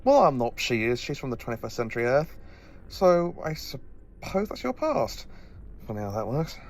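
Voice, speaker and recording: An actor playing a quirky, knowing professor who travels in time, explaining things wryly and haltingly in an audio drama. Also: male voice, mid-range voice, big pitch swings, a little hiss or hum.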